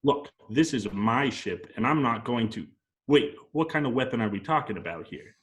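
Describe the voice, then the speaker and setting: A man's voice: medium pitch, lively intonation, normal speed, close to an everyday voice. A man playing an indignant, insecure space outlaw captain, petulant and protesting, in an amateur film table read.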